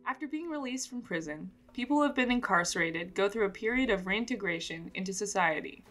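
A woman reading scripted documentary narration in a measured, informative way. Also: mid-range voice, expressive, fairly bright tone, soft background music.

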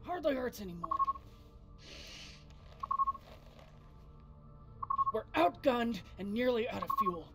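An actress playing a hard-bitten, foul-mouthed, defiant spaceship pilot in a video game, with a blunt, sardonic way of talking. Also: female voice, fairly high pitch, big pitch swings, a little fast. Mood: grim, bitter. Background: loud music.

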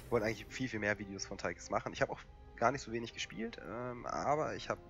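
A young man with a cold, hoarse and coughing, casually commentating a strategy game with lots of fillers. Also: on the low side, fast talker, faint music behind. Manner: relaxed and rambling, many fillers. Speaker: gaming channel host.

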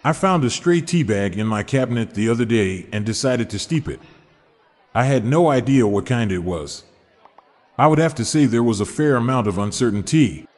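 A man's voice: mid-range voice, expressive, normal speed, a little mellow, some chatter behind. Guy cracking dad jokes, chuckles at the punchlines, long hall echo, faint music under it. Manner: upbeat joke delivery with chuckles at punchlines. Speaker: podcast host.

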